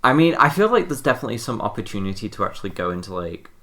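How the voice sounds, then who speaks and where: A podcast co-host chatting casually and brainstorming in an excitable, rambling, halting way. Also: medium pitch.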